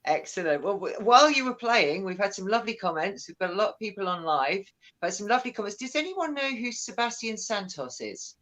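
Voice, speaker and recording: A woman hosting a casual live-stream interview, bubbly and quick to laugh. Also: medium pitch, big pitch swings, fairly bright tone. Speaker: host.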